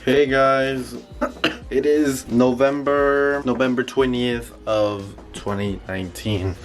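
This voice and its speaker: A man's voice: mid-range voice, steady tone, takes it slowly, music in the background. Congested teenage boy vlogging at home. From New York. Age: teens. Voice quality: congested, nasal. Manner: rambling, filler-heavy, self-conscious. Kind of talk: casual solo vlog. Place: home, indoors.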